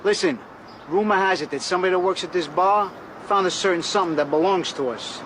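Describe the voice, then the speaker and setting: A man's voice: high voice, fast talker, background noise, lightly acted. An actor playing a pushy, evasive small-time tough guy in a comedy sketch. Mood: pushy, menacing.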